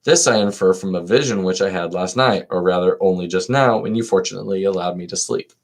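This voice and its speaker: Male reader as old philosopher in classical dialogue: low voice, serene, resigned, inquisitive, formal. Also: fast talker. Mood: questioning.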